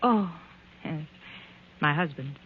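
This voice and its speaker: A jealous society wife in a 1940s radio mystery. She is coolly formal and pointed, in a fairly low voice, heard through muffled, narrow old broadcast audio. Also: animated, takes it slowly, slight background noise.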